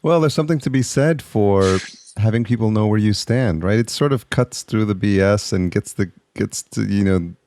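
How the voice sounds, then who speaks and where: Very low-voiced man hosting a podcast. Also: darkish tone, a little hiss or hum. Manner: halting, many restarts, friendly. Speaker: podcast host. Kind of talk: casual interview chat.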